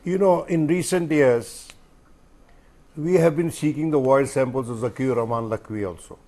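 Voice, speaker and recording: A man's voice: mid-range voice, moderate pace, darkish tone. A man with an Indian accent, a journalist speaking emphatically and rhetorically as a guest in a panel discussion, repeating phrases for weight.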